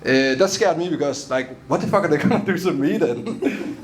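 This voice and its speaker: A man's voice: fairly high pitch, expressive, moderate pace, noisy background. A young man from Denmark with a Danish accent, doing a loose, chatty stand-up set on a club stage and laughing at his own jokes. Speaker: stand-up comedian.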